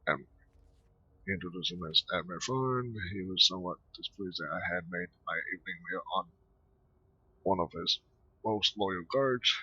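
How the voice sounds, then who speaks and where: A man hosting a casual gaming video in a low, very sharp and husky voice. He rambles and halts as he comments, on a dry, slightly dull microphone. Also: expressive, dry recording. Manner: halting, rambling. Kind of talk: casual game commentary, reading dialogue aloud.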